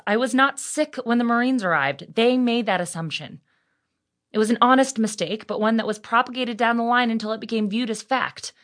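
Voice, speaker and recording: A woman's voice: medium pitch, a bit bright, lightly acted. An actress playing a defiant, determined survivor reading out her emails in a firm, blunt way for an audio drama.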